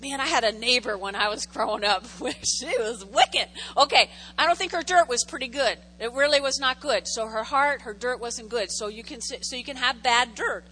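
A woman's voice: a little high, moderate pace, few pauses, sharp tone, slight background noise, rough recording. A woman pastor preaching a sermon in a conversational way, repeating key phrases for emphasis and making jokey asides to the congregation.

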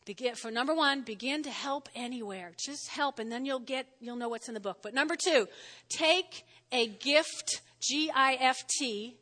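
A woman pastor with a New Jersey accent, preaching a sermon in a lively, conversational way and often checking in with "all right?". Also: high voice, normal speed. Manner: lively, spells words out, frequent "all right?" check-ins. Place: church sanctuary. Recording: long hall reverb.

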